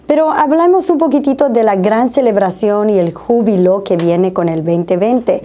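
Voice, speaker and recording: A woman's voice: medium pitch, normal speed, dark tone. A middle-aged woman, hosting an interview in a TV studio. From Bolivia. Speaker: TV show host. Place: small indoor TV studio.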